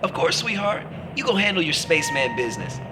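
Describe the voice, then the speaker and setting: A man's voice: on the high side, big pitch swings, brisk. A man playing an eccentric, self-absorbed billionaire tech boss, breezy and glib, in a sci-fi audio comedy. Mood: jovial, glib. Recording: very noisy car phone call, vehicle roar.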